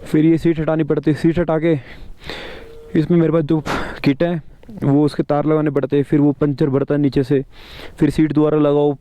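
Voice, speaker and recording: A young man vlogging on a trip, his throat a little hoarse, talking bluntly and chattily straight to his viewers. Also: a little high, flat delivery, normal speed, no echo, highs cut off. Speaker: travel vlogger. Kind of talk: casual travel vlog talk.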